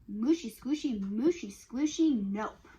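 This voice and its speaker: A stubborn little piglet from a storybook, as a woman reads her lines aloud, bouncing along in a playful singsong. Her voice sits fairly high and bright, and the words roll out in a cheerful, chanting rhythm. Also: animated, slow, lo-fi sound.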